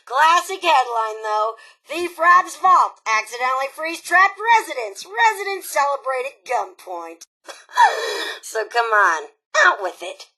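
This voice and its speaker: A woman's voice: sits high, very animated, slow, sharp tone, exaggerated voice, rough recording. A woman voicing a cocky, scheming robot raider broadcaster in a video game, with an American accent and a sarcastic, taunting, theatrical drawl. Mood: sardonic, prying. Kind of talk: video game character dialogue.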